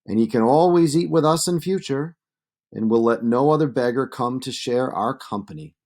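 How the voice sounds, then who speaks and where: A man's voice: medium pitch, moderate pace, darkish tone, voice pushed up, natural voice. A man voicing an arrogant young suitor in an epic read aloud, taunting and domineering. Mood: commanding. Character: Antinous, arrogant young suitor, mocking and threatening.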